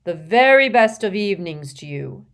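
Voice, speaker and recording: Woman as an old male wizard, storybook headmaster, genial, measured, stately, addressing pupils. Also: medium pitch, lively intonation.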